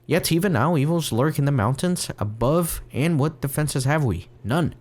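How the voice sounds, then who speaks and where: A man's voice: on the high side, expressive, normal speed, a little mellow, pitched above the performer's own voice. A man reading as a worried village leader. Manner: solemn, plainly read quest text.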